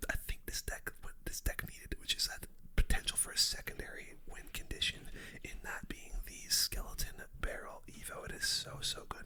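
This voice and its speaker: A person whispering casual, slangy game commentary as the host of an ASMR gaming video. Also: very high voice. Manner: whispering throughout.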